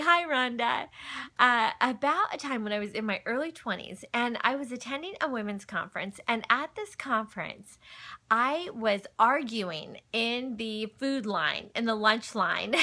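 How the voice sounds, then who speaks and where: A middle-aged woman with a high, very bright, forceful voice. She chats casually like a livestream host, telling personal stories and talking faith. Her manner is folksy and direct to her viewers. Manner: chatty, folksy, breaks off to greet and answer viewers. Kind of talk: casual chat, personal storytelling and faith talk. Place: at home. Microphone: phone.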